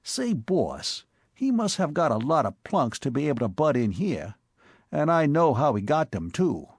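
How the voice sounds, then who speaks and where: A man voicing a brash, streetwise young male crook with a New York Bowery accent and slangy, excitable talk. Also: a little high, normal speed, husky, no echo, clean studio sound, full character voice. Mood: boastful.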